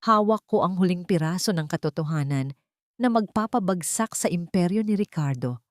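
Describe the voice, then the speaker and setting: A woman voicing a formal, solemn and determined male lawyer in an audio drama, speaking in a measured way. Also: fairly low pitch, expressive, dry recording, clean studio sound, close to an everyday voice. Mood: solemn, resolute.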